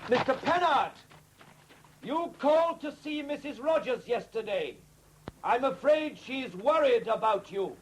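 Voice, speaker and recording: An actor playing an urgent, authoritative police superintendent calling out in a 1970s radio drama. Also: male voice, extremely high pitch, expressive, unhurried. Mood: firm, controlled. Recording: faintly noisy old radio recording.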